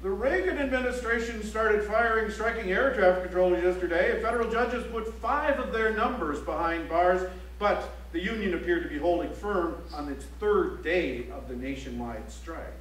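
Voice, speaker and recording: Actor as high-voiced male news reporter, echoey. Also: normal speed, a bit bright. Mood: emphatic. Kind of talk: stage recitation of news reports. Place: library auditorium stage. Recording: echoey auditorium, distant, faint hum.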